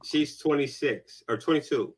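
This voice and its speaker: A man's voice: a little high, normal speed, breathy edge. A man in his forties chatting informally and emphatically as an interview guest. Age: 40s. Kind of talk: casual personal chat.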